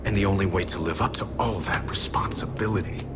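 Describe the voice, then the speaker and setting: An actor playing an older, coldly calculating CEO supervillain in a video game, smug, measured and mocking. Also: male voice, low-pitched, lightly acted. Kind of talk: video game villain acting. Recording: loud dramatic music under the voice. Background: loud game music.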